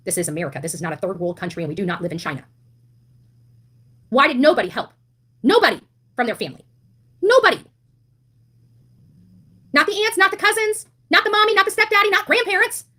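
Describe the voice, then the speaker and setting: A woman's voice: high voice, animated, fast, long pauses, pushed and bright. A woman delivering an impassioned monologue as an online commentator, blunt and indignant, leaning on rhetorical questions and emphatic repetition. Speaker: online commentator on a missing-child case.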